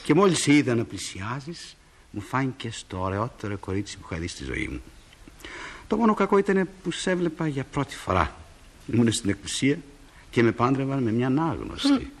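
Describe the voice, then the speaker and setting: A genial middle-aged family father in a radio drama. His husky voice swings widely in pitch as he reminisces with sentimental warmth and expressive delivery. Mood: fond. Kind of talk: radio play acting.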